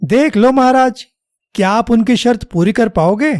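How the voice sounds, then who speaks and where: A man playing a clever, loyal royal minister in an animated children's story, polite and deferential. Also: very high voice, normal speed, dry recording, studio quality. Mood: sly, polite.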